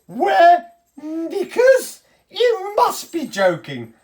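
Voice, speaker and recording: A woman's voice: very high-pitched, wildly swooping pitch, extremely slow, darkish tone, voice pushed up, heavily acted. A woman voicing a pompous, incredulous male emperor in a children's storybook, with emphatic delivery. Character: shivering pompous male emperor, kindly but easily outraged.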